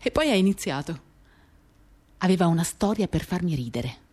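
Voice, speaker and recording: A woman giving a measured, intimate dramatic reading of first-person literary narration in short, paused phrases, accompanied by acoustic guitar. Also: fairly low pitch, expressive, a little mellow. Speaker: reader of a novel.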